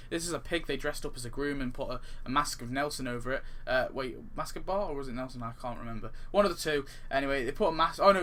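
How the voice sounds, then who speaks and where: A man's voice: fairly high pitch, bright, forceful tone, a little hiss or hum. A man giving casual, off-the-cuff gaming commentary in a rambling way, often correcting himself mid-sentence. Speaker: gaming video creator. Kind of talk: casual off-the-cuff commentary on game update ideas.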